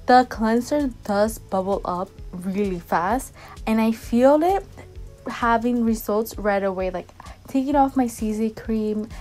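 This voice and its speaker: A woman in her twenties with a Dominican accent, chatting animatedly through a skincare review in her bedroom. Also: mid-range voice. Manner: animated, talks with her hands, upbeat. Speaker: fashion and skincare vlogger. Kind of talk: casual chatty product review. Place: bright bedroom.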